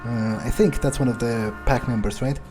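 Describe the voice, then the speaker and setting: A man roleplaying a cynical, amused, bloodthirsty vampire in a video game, husky, musing and sardonic in an improvised way. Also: mid-range voice, steady tone, moderate pace, music in the background, natural voice. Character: cynical, bloodthirsty, amused young male vampire, foul-mouthed.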